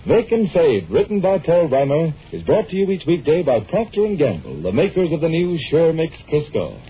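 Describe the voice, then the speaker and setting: A man's voice: a little high, huge pitch swings, normal speed, warm tone. An American man announcing a sponsored programme in 1940s radio style. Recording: noisy 1940s radio broadcast.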